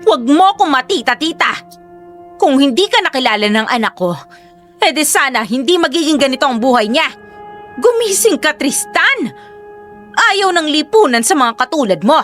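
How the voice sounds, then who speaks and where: An actress playing a scornful, bigoted middle-aged mother in a radio drama, shrill and contemptuous, given to shouting tirades. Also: very animated, normal speed. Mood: furious, scornful. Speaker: mother of Albert. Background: faint background music.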